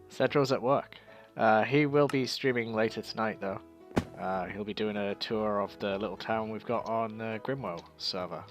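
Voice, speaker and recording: Croaky-voiced man, laid-back chat. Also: on the low side, a little slow, faint music behind. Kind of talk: casual chat while gaming.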